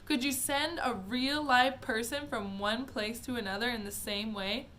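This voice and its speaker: A woman voicing a brash, TV-obsessed young boy who shouts boastfully. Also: a little high, steady tone, unhurried, slight background noise.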